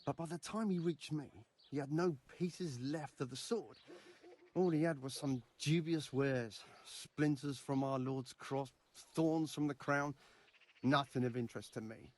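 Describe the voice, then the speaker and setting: A man's voice: on the high side, a little slow. An actor playing an eager, devout, grasping medieval craftsman in a video game, talking emphatically and persuasively. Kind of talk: video game dialogue acting.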